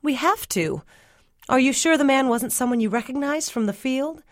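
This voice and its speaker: Woman acting sharp, exasperated country mother, raised pitch. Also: expressive, normal speed, dry recording, studio quality. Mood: anxious, insistent. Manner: scolding, pressing with questions. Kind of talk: audiobook character dialogue.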